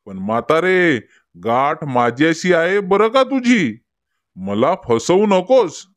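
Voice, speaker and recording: A man's voice: a little high, very animated, takes it slowly. A man playing a hungry, threatening big cat of the jungle in a cartoon folk tale, with a drawling delivery. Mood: grudging, warning.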